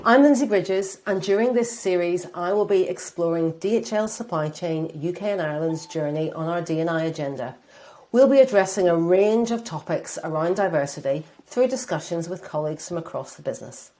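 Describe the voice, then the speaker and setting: A woman's voice: lowish voice, lively intonation, brisk, dark tone. A woman hosting a company podcast, upbeat and encouraging as she introduces guests and asks questions. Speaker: podcast host. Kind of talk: hosted podcast interview.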